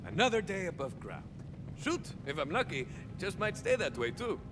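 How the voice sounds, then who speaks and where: An actor playing a swaggering young cyborg soldier of fortune in a video game, a touched flavor, musing theatrically and landing wry deadpan punchlines. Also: male voice, high-pitched, moderate pace, in a large hall, background noise. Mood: wry reflection. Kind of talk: video game character monologue.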